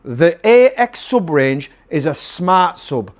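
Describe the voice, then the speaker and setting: A man's voice: on the high side, big pitch swings, slow. A man presenting a casual product review to camera, emphatic and salesman-like, repeating phrases to drive his point home. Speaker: presenter and seller of PA gear.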